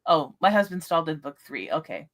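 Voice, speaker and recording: A woman hosting a casual book discussion livestream, chatting in an animated way. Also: low voice, fairly quick.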